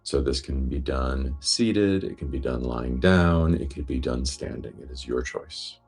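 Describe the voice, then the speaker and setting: Super deep voiced guy leading breathwork, gentle and slow, lots of fry, music behind him. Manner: gentle, unhurried, reassuring. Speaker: meditation and breathwork guide. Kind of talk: guided meditation instruction.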